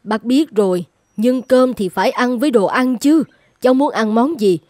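A woman voicing a motherly older eatery owner in a cartoon, caring and gently insistent. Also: fairly high pitch, moderate pace, dry recording, clean studio sound, close to an everyday voice. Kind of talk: animated moral-tale dubbing.

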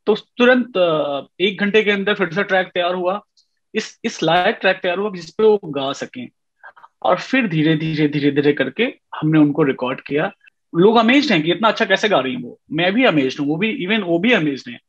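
A man in his thirties tells his story with animation, filling pauses as he goes. His voice sits slightly high, and the lo-fi video call audio sounds dull and muffled. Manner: animated storytelling, mixing Hindi and English, many fillers. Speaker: music composer guest. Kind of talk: casual interview on a video call. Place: indoor room at home. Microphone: webcam on a video call.

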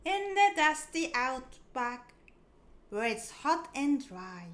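High-pitched woman reading slowly to young children. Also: very animated, a bit bright. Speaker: children's storybook reader. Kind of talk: read-aloud of a picture book for young children.

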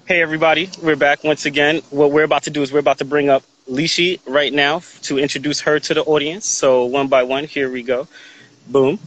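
A man's voice: a little high, flat delivery, brisk, a bit bright, slight background noise, rough recording. A man in his forties hosting a live video call chat, upbeat and laughing often, talking into a phone held at arm's length outdoors. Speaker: host. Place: outdoors in a sunny yard.

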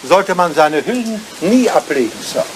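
An older man, an actor playing a dry, devoted, disapproving English butler, formal and deadpan, in a radio comedy drama. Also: sits high, normal speed. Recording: noisy old radio play recording.

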